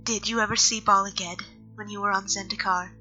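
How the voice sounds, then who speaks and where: A woman's voice: medium pitch, fairly even intonation, brisk, bright, forceful tone. A woman playing a serious, guarded elf nature mage in an audio drama, speaking in earnest, precise wording. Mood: somber. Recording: slightly dull audio, music underneath. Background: music.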